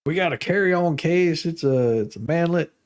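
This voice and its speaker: Guy on a livestream reading paperwork out loud, kinda high voice, sarcastic and mocking side comments. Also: expressive, normal speed. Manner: sardonic, mocking. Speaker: live stream host. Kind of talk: reading documents aloud with off-the-cuff commentary.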